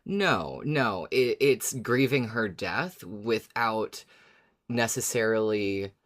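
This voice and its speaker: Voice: medium pitch, slow pace, a bit bright. A podcast co-host chatting casually and enthusiastically, musing aloud.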